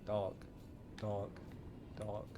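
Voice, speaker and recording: A man's voice: on the low side, completely flat delivery, drawn-out words, background noise. A man livestreaming a video game with casual, chatty, offhand commentary and some mild swearing. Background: video game music and sound.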